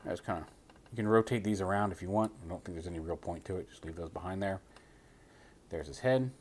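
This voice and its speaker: Male toy reviewer with a lowish voice, relaxed hands-on walkthrough at a tabletop. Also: fast, slightly rough. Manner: casual, explains step by step while handling a figure.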